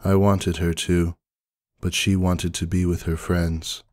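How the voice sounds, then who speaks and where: A stoic older sister pony played by a man narrates a dramatic story in a deep, deadpan voice. The delivery is terse and unemotional, and the sound is close and dry. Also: slow, no room sound. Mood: stoic, somber. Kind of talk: dramatic first-person story reading.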